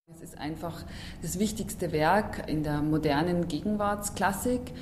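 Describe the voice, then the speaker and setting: Lowish-voiced woman in echoey, rumbly room. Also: measured pace, a little mellow, a little hiss or hum. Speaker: interviewee. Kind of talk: unscripted interview talk.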